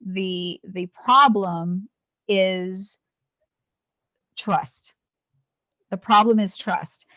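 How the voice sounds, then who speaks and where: A woman's voice: medium pitch, steady tone, drawn-out words, long pauses, pushed and bright, no echo, low-quality recording. A woman in her fifties, a police officer and Navy veteran, talking earnestly in an interview with frequent restarts. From United States. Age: 50s. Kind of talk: candid interview talk.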